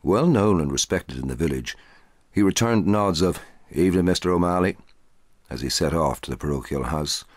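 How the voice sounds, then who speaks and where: A man reading a short story aloud in a measured, quiet storytelling voice. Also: lively intonation, no echo, studio quality. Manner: measured, quiet storytelling, dropping low for dialogue. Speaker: audiobook narrator. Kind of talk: scripted narration of a short story.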